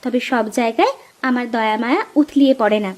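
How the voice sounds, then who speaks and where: A woman's voice: on the high side, expressive, quick pace, a crowd in the background, close to an everyday voice. A woman voicing a blunt, practical farmer's wife in a Bengali cartoon folk tale. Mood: curt, scolding. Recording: noisy ambience behind the voice.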